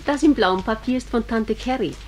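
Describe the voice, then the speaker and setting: A woman playing an affectionate, busy small-town mother in a radio drama, brisk and motherly. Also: fairly high pitch, very noisy, close to an everyday voice. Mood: fond, busy.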